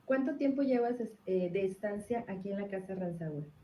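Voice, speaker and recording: A woman conducting an interview, asking short, simple questions in a gentle style. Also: medium pitch, expressive, moderate pace. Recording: roomy, faintly noisy played-back video.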